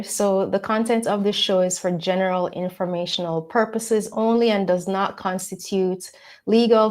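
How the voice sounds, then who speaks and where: A woman's voice: medium pitch, darkish tone. Woman co-host, friendly and playful, mid-range voice, realtor chat. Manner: friendly, playful, reads disclaimers carefully. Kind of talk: casual real estate chat.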